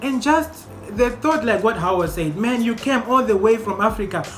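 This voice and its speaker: A young man hosting a casual group discussion among medical students, asking questions in an animated way. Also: sits high, normal speed. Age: young adult. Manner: animated, questioning, laughs often. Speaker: host. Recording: echoey room with music behind.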